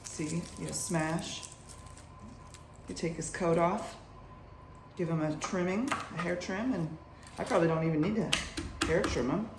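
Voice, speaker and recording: A young woman with a husky morning voice, chatting playfully to viewers in a cook-along vlog from her kitchen. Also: fairly low pitch, a little slow, long pauses, background noise. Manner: bubbly and playful, talks to viewers while cooking. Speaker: cooking vlogger. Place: home kitchen. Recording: echoey kitchen.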